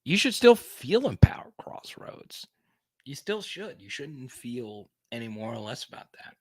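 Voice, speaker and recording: Slow-talking, laughing man in his thirties. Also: mid-range voice, sing-song, darkish tone, dry recording. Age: 30s. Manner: laughs often, stammering restarts, joking. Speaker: sports podcast host. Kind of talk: casual livestream chat reading viewer comments.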